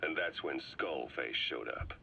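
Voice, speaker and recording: A shrewd interrogator in a dramatic video game, with a very low voice on a dull tape with faint hiss. He is measured and sardonic, pressing each point dryly. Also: vocal fry, sharp tone, heavily acted. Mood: somber, pointed.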